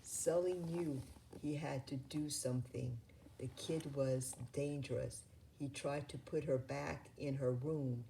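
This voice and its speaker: A low-pitched woman narrates a children's picture book at a slow pace. Her delivery is deliberate and a little halting, with faint noise behind her in a home-style recording. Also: fairly even intonation, gravelly. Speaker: storybook reader. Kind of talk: read-aloud children's story narration.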